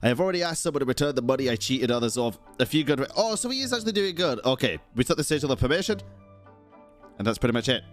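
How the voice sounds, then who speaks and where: A man's voice: mid-range voice, sing-song, racing. A young man streaming a game, reacting informally and reading dialogue aloud in casual commentary. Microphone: close streaming mic. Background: game music.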